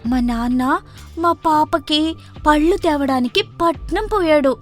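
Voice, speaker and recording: A woman's voice: high-pitched, slow pace, soft background music, voice pushed up. A woman voicing a bold, childish, simple-minded young village woman in a story, outspoken and sing-song. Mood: childlike.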